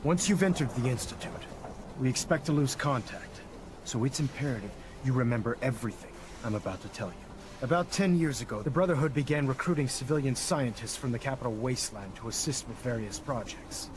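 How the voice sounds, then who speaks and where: A man playing a stern, zealous military leader in a video game, speaking in a formal, authoritative, deliberate way. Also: medium pitch, expressive, lightly acted. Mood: solemn, commanding. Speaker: military commander briefing a soldier. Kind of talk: video game cutscene acting. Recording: noisy game audio with engine ambience.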